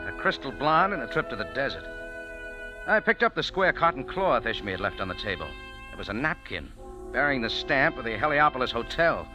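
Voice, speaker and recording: An actor playing a wry, hard-boiled American café owner, narrating and talking in casual noir radio style. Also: male voice, mid-range voice, close to an everyday voice, rough recording. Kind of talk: noir first-person narration, old-time radio acting.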